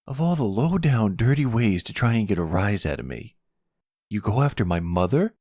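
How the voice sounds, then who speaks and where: A man's voice: on the low side, big pitch swings, moderate pace, darkish tone, no echo, pitched above the performer's own voice. A man voicing a hot-headed, whiny young man in mob trouble for an audio roleplay, defiant and stammering when rattled.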